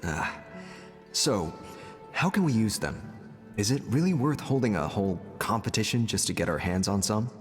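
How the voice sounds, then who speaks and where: Male actor as confident game chieftain, lowish voice, animated intonation, wry and smug, dramatic. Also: normal speed, big-room sound, soft background music, close to an everyday voice. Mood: easygoing, concerned. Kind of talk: dramatic game dialogue acting.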